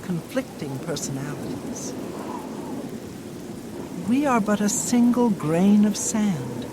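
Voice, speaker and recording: A grave damned soul. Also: female voice, mid-range voice, sing-song, very noisy. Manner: measured, grave delivery. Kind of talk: game character dialogue. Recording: loud rain and thunder behind.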